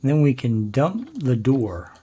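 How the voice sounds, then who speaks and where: An older man with a naturally low voice, hosting a gameplay video and musing to himself in unhurried, relaxed commentary as he builds. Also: husky. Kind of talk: relaxed let's-play commentary.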